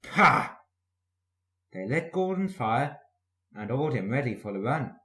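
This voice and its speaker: A man's voice: medium pitch, sing-song, normal speed. A man reading a scripted children's story as the narrator. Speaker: storybook reader. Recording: echoey room.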